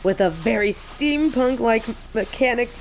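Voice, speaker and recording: A young woman playing an excitable, sassy biker and machine nerd on a date in a romantic audio roleplay. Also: unhurried, low-quality recording. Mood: gushing, excited. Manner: gushing and teasing, swinging between squeals and low deadpan. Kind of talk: romantic audio roleplay, scripted monologue to an unseen listener.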